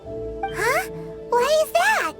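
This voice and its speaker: A woman voicing a tiny, childlike, cheeky floating fairy companion in a video game, with a squeaky delivery. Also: very animated, very slow, full character voice. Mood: curious. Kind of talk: cartoonish video game voice acting. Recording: loud music behind game dialogue.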